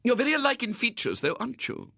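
A man's voice: very high-pitched, huge pitch swings, fast talker, creaky, dry recording, clean studio sound, voice pushed up, heavily acted. A man voicing a severe, prim and gullible woman in a storybook, with a proper manner. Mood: chatty, confiding. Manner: grim and sharp, softening to gracious.

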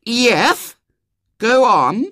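A man's voice: very high voice, animated, drawn-out words, sharp tone, no echo, clean studio sound, voice pushed up, full character voice. A man voicing an imperious, spoiled little girl who plays at royalty in a children's storybook, bossy, demanding and haughty. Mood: boastful, commanding.

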